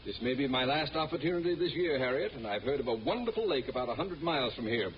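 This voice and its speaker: A man's voice: mid-range voice, expressive, fast, close to an everyday voice. A man plays a pompous, scheming middle-aged school principal in an old radio comedy. He speaks with theatrical self-importance, through a muffled broadcast recording with plenty of noise. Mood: pleased.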